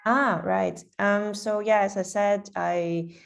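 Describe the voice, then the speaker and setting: A woman's voice: mid-range voice, fairly even intonation, slow, clear, resonant tone. A woman in her thirties from Hong Kong, answering interview questions in a hesitant, casual way with many fillers. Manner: hesitant, many ums, laughs lightly. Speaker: guest speaker.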